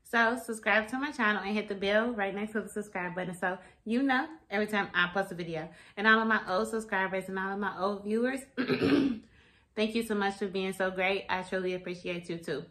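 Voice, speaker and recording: A young woman chatting casually and informally to camera, her voice a little raspy and tired. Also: mid-range voice, fairly quick, pushed and bright. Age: young adult. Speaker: vlogger. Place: small room at home, indoors.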